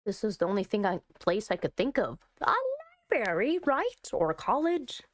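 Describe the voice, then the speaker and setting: A woman's voice: mid-range voice, big pitch swings, normal speed, dry recording, clean studio sound. A woman giving casual, chatty commentary as she plays through a visual novel, talking to herself with dramatic delivery. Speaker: game streamer. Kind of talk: casual visual novel playthrough commentary, reading text aloud.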